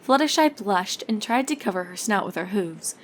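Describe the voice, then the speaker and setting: A woman narrates a written story in a mid-range voice. Her intonation is lively and varied, as a storyteller reading from a script. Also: mid-range voice, normal speed. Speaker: narrator, fan fiction reader.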